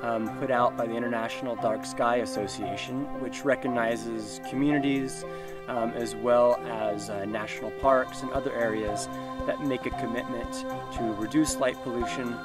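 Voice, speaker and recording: A thoughtful man in his thirties answers interview questions in a medium-pitched voice. He explains haltingly, outdoors, while music plays loudly over him. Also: steady tone. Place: outdoors at a picnic table. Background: loud music.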